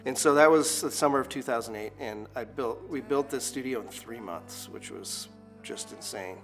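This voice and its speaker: A man in his forties, a studio owner, speaks in a relaxed documentary interview. His talk wanders, full of fillers and restarts, and faint music plays quietly beneath it. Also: medium pitch, moderate pace. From United States.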